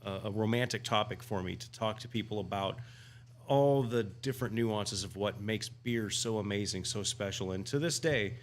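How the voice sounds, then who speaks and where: Guy with a lowish voice rambling about stuff, lots of ums, faint hum behind. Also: normal speed. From United States. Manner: rambling, many restarts and fillers. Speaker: guest homebrewer. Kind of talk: casual podcast conversation.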